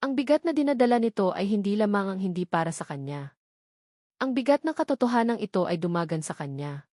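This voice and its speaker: A woman's voice: medium pitch, animated, brisk, dark tone, no room sound, clean studio sound. A woman reading a scripted romantic drama audiobook as its narrator.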